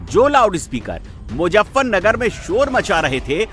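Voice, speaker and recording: High-pitched, animated male news narrator. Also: unhurried, soft background music. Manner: punchy, emphatic, repetitive phrasing. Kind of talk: dramatic scripted TV news narration.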